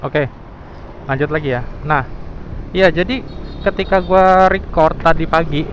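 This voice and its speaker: A man's voice: a little high, unhurried. A man with speech, chatting casually and thinking aloud to viewers as a motovlogger, recorded on a helmet intercom microphone. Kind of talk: casual vlog chat to viewers. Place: outdoors, riding a motorbike. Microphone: intercom microphone inside helmet. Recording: dull helmet intercom mic, loud traffic noise.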